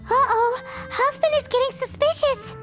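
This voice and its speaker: A woman voicing a tiny, excitable, easily alarmed fairy companion in a video game, squeaky and exclaiming. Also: moderate pace. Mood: panicked. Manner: squeaky, exclaiming, speaks of itself in third person. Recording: loud music under the voice.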